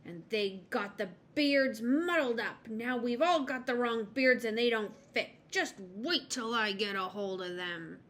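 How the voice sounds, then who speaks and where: Lady putting on a grumpy old troll, growly and loud, slow and cross, storybook villain. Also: a little high. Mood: angry. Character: grumpy fierce male troll.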